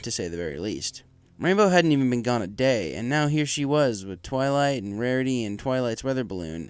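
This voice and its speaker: A man's voice: medium pitch, no echo. A man reading a fan fiction story aloud as a scripted narration. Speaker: story reader. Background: music.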